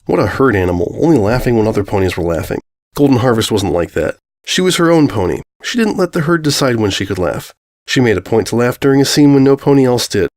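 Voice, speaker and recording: A man's voice: medium pitch, lively intonation, fast talker, darkish tone. A man reading a scripted story aloud as its narrator.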